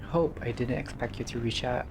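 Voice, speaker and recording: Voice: mid-range voice, moderate pace. Noisy recording of a casual gamer reading aloud. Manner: informal, swears, stumbles while reading. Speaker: gamer reading and reacting to a visual novel playthrough. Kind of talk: casual let's-play, reading game text aloud with asides.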